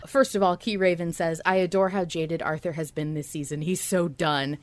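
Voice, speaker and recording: A woman with a slightly low voice co-hosts a casual fan podcast. She talks in an informal, animated way, working out her ideas as she goes. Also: moderate pace. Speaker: podcast co-host. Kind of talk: casual fan discussion chat.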